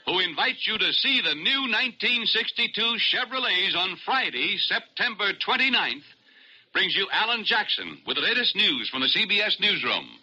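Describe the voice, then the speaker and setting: A man's voice: high voice, big pitch swings, moderate pace, pushed and bright, poor audio. A man reading a scripted 1960s radio sponsor announcement. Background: music.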